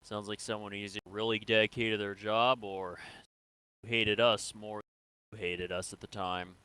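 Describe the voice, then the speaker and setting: A man playing a probing, businesslike military interrogator in an improvised sci-fi game role-play, speaking in a measured, matter-of-fact way. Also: lowish voice, little pitch movement, pushed and bright, clear, resonant tone, no room sound. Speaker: role-player in an online game.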